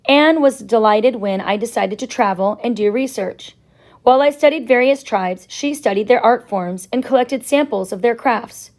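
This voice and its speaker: A woman voicing a quiet, reserved, sorrowful older male professor in a children's novel, hesitant and reflective. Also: on the high side, lightly acted.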